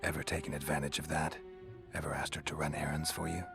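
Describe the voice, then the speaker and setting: A man playing a gruff, stoic monster hunter in a video game, with a gravelly voice and a terse, deadpan, blunt way of talking. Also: rumbling low, fast, over music. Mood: probing, deadpan. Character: gruff, stoic, world-weary male monster hunter, dry and guarded. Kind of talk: video game character dialogue.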